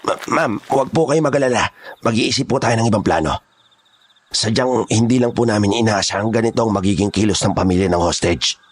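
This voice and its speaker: An actor playing a rough kidnapper's henchman in a horror audio drama, colloquial and easily rattled. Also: lowish voice, brisk. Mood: apologetic, nervous. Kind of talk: dramatised horror story acting.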